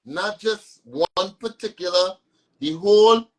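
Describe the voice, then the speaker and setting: A man's voice: high-pitched, slow, rough recording. A man with a Vincentian accent, a soca artist chatting in an interview and repeating words for emphasis. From Saint Vincent. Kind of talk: casual interview chat.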